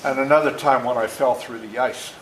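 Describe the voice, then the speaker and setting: A man in his seventies talking and reading aloud to children as an author, plain-spoken and deliberate, often checking in with questions. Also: mid-range voice, fairly even intonation. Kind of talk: storytelling talk and read-aloud to children. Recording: echoey room with faint noise.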